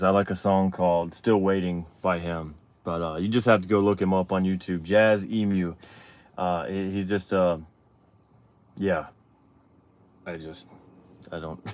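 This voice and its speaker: A man's voice: medium pitch, no room sound. A man, chatting casually as host of his own livestream, rambling and reading viewer questions aloud. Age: 30s.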